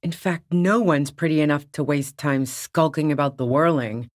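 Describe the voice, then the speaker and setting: A woman's voice: low-pitched, moderate pace, no room sound, clean studio sound, natural voice. A woman voicing a tough, cynical, streetwise smuggler on the run in a video game, with a blunt, sardonic manner. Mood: wry, guarded. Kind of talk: video game character dialogue.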